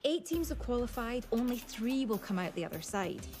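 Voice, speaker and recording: A woman's voice: a little high, big pitch swings, normal speed, over music. A woman acting as a motorsport broadcaster, narrating in a dramatic sports-television style. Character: motorsport broadcaster setting up a final.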